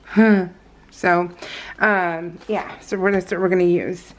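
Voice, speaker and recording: A woman's voice: on the low side, fairly even intonation, slow pace, poor audio. A woman with an American accent chatting casually and unscripted as a vlogger, in a rambling, giggly, storytelling way. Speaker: vlogger hosting a scrapbooking process video.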